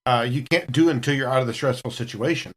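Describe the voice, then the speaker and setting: Male podcast player, medium-pitched voice, informal conversational delivery. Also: quick pace, fairly bright tone. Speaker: podcast cast member and player. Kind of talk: casual chat.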